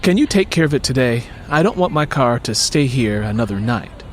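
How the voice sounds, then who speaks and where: A man's voice: mid-range voice, expressive, brisk, a little hiss or hum, lightly acted. A man voicing a guarded, exasperated woman in an audiobook story, blunt and reluctant in manner. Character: exasperated, guarded young woman with broken-down car.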